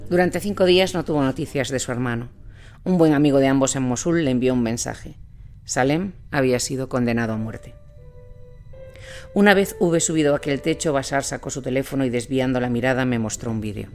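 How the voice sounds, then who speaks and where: Man acting as male war reporter, mid-pitched voice, measured solemn narration, grave storytelling, audio drama, music underneath. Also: darkish tone, natural voice. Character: male war reporter recounting grim events in Iraq. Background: music bed.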